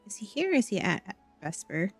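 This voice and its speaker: A woman's voice: medium pitch, very animated, quick pace, gravelly, breathy edge. Woman thinking aloud as she streams a game. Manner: talks to self, thinking aloud. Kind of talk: casual live gameplay commentary. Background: music.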